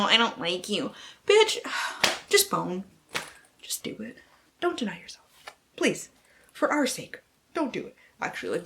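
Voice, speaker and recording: A woman's voice: on the high side, fairly bright tone. A woman book vlogger who mixes casual, slangy chat with mock-dramatic blurb reading and playful, sweary asides to herself.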